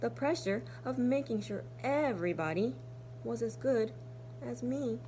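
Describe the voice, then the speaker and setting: A woman teacher reading to young children in an expressive, deliberate storytelling manner. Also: on the high side, a little hiss or hum, low-quality recording. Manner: animated, deliberate storytelling with hushed, breathy asides. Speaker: kindergarten teacher. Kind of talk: expressive children's storybook read-aloud with asides.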